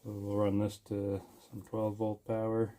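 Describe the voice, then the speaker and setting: A man's voice: on the low side, completely flat delivery, unhurried, warm tone. A man vlogging a DIY project in casual, unscripted talk, hesitant and thinking aloud as he goes.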